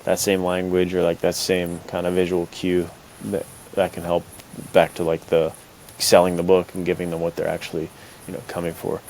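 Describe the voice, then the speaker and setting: A man's voice: low-pitched, monotone, moderate pace, a little mellow, poor audio. A man chatting casually as a podcast host, with an informal, slangy way of talking. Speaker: podcast host and fiction writer. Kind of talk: casual chat. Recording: clear background hiss.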